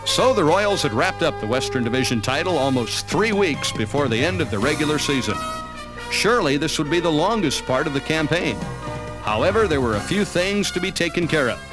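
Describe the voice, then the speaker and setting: A man's voice: a little high, lively intonation, normal speed. An American man reading scripted narration for a baseball season documentary. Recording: music bed under narration. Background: background music.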